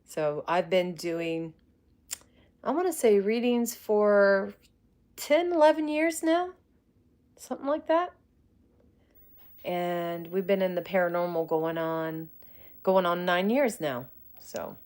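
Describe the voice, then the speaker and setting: A woman's voice: on the low side, animated, slow pace, long pauses, a little mellow. A woman in her forties, a psychic medium, chatting casually with viewers on a livestream. She often laughs, greets people by name and uses colloquial grammar. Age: 40s. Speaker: psychic medium and paranormal live streamer. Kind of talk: casual livestream chat answering viewer comments.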